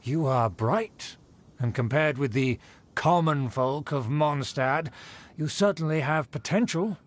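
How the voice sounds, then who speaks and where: A man's voice: fairly high pitch, slow. A man voicing a haughty, pompous, vain aristocrat in a video game, speaking in a formal, condescending way. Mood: condescending approval. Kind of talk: video game character acting.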